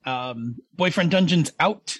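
A man co-hosting a podcast in casual chat, animated, with lots of fillers and restarts. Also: on the high side, slow, slightly rough, no echo. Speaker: podcast co-host.

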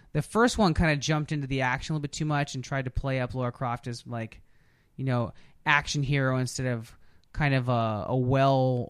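A man speaks as a podcast host in casual, opinionated chat. His voice sits at an average pitch, and the sound is very dry with little room in it. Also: smooth, dry recording. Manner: informal and opinionated, with occasional swearing.

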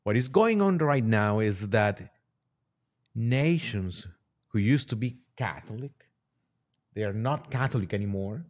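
A man speaking as a priest and podcast guest, giving religious commentary in a halting, conversational way with repeated words. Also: on the low side, lively intonation.

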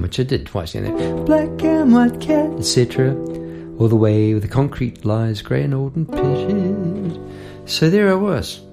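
Middle-aged man, gravelly voice, rambling podcast host, loose restarts, guitar playing behind. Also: medium pitch. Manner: rambling and warm-hearted, with frequent restarts and musing asides. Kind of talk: casual reflective podcast talk about songwriting. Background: guitar being played.

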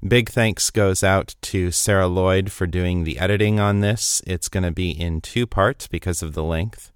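A man's voice: low voice, fairly even intonation, a little slow, clean tone. A man hosting a podcast, giving introductions and chatting casually with his guests. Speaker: podcast host. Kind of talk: scripted introduction and casual round-table chat.

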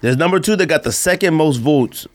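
A man's voice: a little high, animated, brisk. A man hosting a podcast in casual banter, with a teasing, opinionated manner. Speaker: podcast host.

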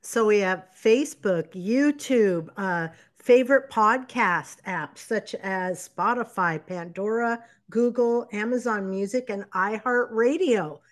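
A woman co-hosting a live chat show, upbeat and encouraging, reading out viewer shout-outs. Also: mid-range voice. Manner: upbeat, encouraging, quick interjections. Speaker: livestream co-host.

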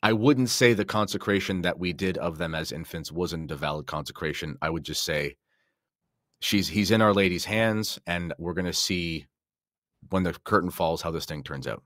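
Middle aged guy guesting on a podcast, low voice, really dry sound, just talking it through. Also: normal speed, a bit bright, no echo. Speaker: podcast guest and audiobook narrator. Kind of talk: casual discussion.

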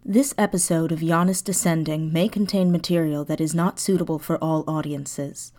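A woman speaking clearly to listeners, explaining and announcing for an audio drama. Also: low-pitched, steady tone, normal speed, warm tone.

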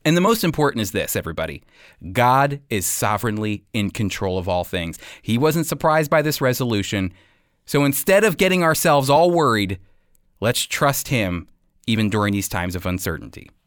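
A man's voice: medium pitch, very animated, normal speed, no room sound, clean studio sound. A man delivering scripted radio commentary, speaking directly to listeners in an emphatic, persuasive manner. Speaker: host and Bible teacher giving commentary.

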